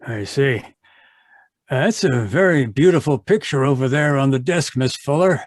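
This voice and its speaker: A man's voice: medium pitch, lively intonation, normal speed, dry recording, natural voice. A man acting as a polite, probing newspaper reporter in an old-time radio mystery. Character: inquisitive, polite but shrewd male newspaper reporter. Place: remote video call performance. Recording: live video-call recording, dry.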